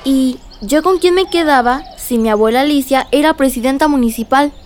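A woman's voice: sits high, normal speed, a little mellow, a little hiss or hum, close to an everyday voice. A female performer playing a curious, affectionate young girl in a radio drama, asking innocent questions. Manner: inquisitive, innocent.

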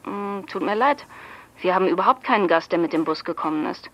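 A woman's voice: fairly low pitch, animated, a little fast, fairly bright tone, dull audio. An actress in a German radio drama playing a polite, businesslike woman answering the telephone. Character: polite, businesslike telephone operator and hotel clerk.

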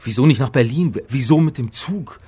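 A man's voice: medium pitch, animated, brisk, a crowd in the background, natural voice. An actor playing a flustered, wry male scholar in trouble, in a radio drama with first-person narration, sounding hesitant and sardonic. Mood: exasperated.

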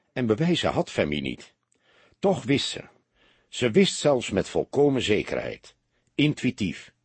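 A man the reading a suspenseful crime story as an audiobook narrator, with measured, expressive phrasing. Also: on the low side, breathy edge, dry recording.

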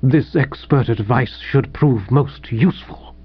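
A man's voice: mid-range voice, lively intonation, fairly quick, lo-fi sound, natural voice. A man voicing a pompous, peevish older male writer with icily formal, clipped speech in an audiobook. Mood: bitter. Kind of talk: audiobook character voice.

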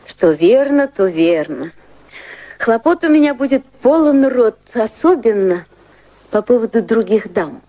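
A woman's voice: fairly high pitch, lively intonation, measured pace, mellow. An actress playing a wry, sceptical yet tender society woman in a radio drama, speaking in an arch, ironic way.

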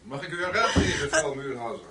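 An actor playing a composed, shrewd American CIA agent in a radio drama, measured, polite and probing. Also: male voice, a little high, sing-song, heavy background noise. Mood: calm, persuasive. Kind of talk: Dutch radio drama acting.

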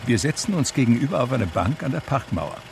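An actor playing a reflective man who tells his life story in measured literary radio drama narration. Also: mid-range voice, very animated, vocal fry, slight background noise. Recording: music under the voice. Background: music.

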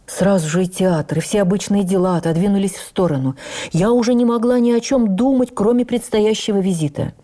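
An actress playing a stage actress who recalls her youth with admiring wonder, in measured, literary, expressive radio narration. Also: medium pitch, natural voice. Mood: reflective, admiring. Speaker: actress reading a memoir on radio. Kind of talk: dramatic first-person memoir narration for radio.

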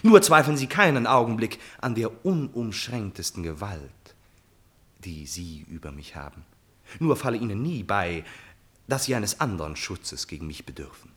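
An actor playing an amorous, courtly prince in a classical radio drama, florid, pleading and smoothly persuasive. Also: male voice, on the low side, lively intonation, normal speed, a bit bright. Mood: ardent, pleading. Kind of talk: classical German stage-play radio acting.

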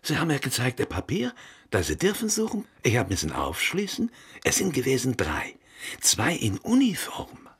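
An actor playing an anxious synagogue servant in a radio play, with a Yiddish accent, a raspy creaky voice and halting, agitated delivery. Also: male voice, medium pitch, wildly swooping pitch, heavily acted.

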